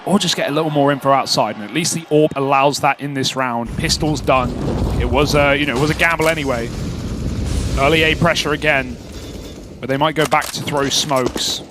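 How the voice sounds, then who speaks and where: A man giving live esports play-by-play and analysis in an animated, emphatic way. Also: on the high side, fairly quick, background noise. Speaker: esports commentator.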